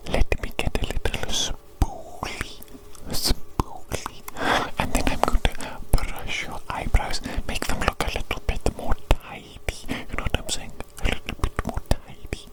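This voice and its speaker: Slow whispering woman in ASMR roleplay. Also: low-quality recording. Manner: soothing, coaxing, repeats phrases slowly. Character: evil doctor fussing tenderly over a big creature.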